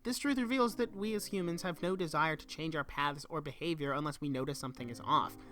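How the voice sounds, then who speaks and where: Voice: medium pitch, fast, bright, forceful tone. Young narrator over background music. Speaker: student video essayist. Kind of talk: scripted video essay narration.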